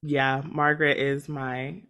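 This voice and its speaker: A woman's voice: extremely low pitch, little pitch movement, slow, a bit bright, clean tone. A young woman hosting a podcast, upbeat and chatty, laughing easily. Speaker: podcast host, junior doctor.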